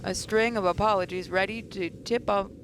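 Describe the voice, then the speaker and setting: A woman's voice: mid-range voice, fairly even intonation, moderate pace, slight background noise. A woman hosting a gaming video, reading a visual novel aloud with asides and occasional stumbles. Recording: voice over game music.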